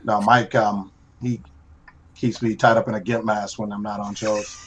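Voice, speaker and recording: A man's voice: on the low side, little pitch movement, normal speed, fairly bright tone. A man chatting casually and joking on a live drawing stream, laughing often at his own remarks. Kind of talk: casual chat while drawing.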